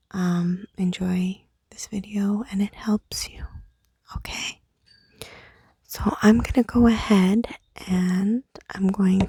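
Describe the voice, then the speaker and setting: A young woman whispering a guided meditation outdoors at night into a small microphone, soft and unhurried with fillers. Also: mid-range voice, slightly rough, no room sound. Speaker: meditation host. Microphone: small handheld microphone.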